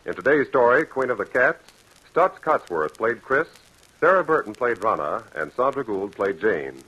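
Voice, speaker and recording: A man's voice: mid-range voice, very animated, moderate pace, sharp tone, husky, poor audio. A man announcing credits in a formal, declamatory old radio style.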